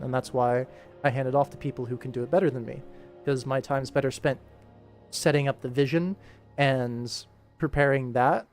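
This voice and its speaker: A man's voice: medium pitch, darkish tone. A man talks casually through his work on a livestream, rambling and muttering to himself as he thinks aloud. Game soundtrack music plays behind his voice.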